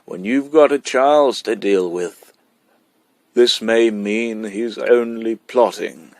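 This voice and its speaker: A man voicing a dry, cynical father in a storybook reading, measured and wry. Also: mid-range voice, no echo.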